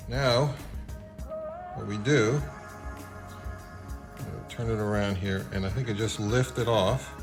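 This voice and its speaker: Low-voiced man casually explaining. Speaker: video host.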